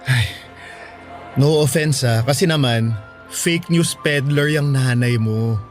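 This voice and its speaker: A man's voice: medium pitch, slow, soft background music, lightly acted. A man acting as a blunt, exasperated young man in a radio drama, dropping English slang into his speech.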